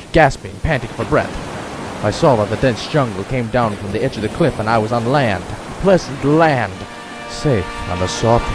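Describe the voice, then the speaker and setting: Actor as a low-voiced shipwrecked hunter telling his tale. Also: natural voice. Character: shipwrecked male big-game hunter recounting his ordeal. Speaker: narrator and lead actor. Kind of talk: old-time radio drama, first-person narration.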